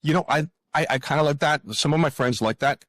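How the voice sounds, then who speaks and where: A man talking casually as an interview guest, halting often and repeating words as he thinks out loud. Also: on the high side, no echo, clean studio sound. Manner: hesitant, halting, with frequent pauses and repeated words.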